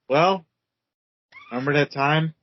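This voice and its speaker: Rambling, higher-pitched male co-host, dry lo-fi audio. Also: husky. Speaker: podcast co-host, wrestler. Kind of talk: casual chat, storytelling.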